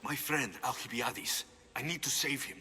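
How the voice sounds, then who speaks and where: An actor playing a stern, protective mercenary hero in a video game, terse and commanding. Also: male voice, mid-range voice, steady tone, normal speed, sharp tone, husky, heavily acted. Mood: grim, firm. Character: stern male Greek mercenary hero, protective and battle-hardened. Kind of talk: video game dramatic acting. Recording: game music behind the voice.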